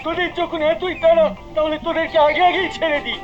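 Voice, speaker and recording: An actor playing a sneaky, excitable small-time crooked shopkeeper who mutters and connives in a cartoon. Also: very high voice, quick pace, muffled recording, music in the background. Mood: sly. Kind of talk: cartoon voice acting.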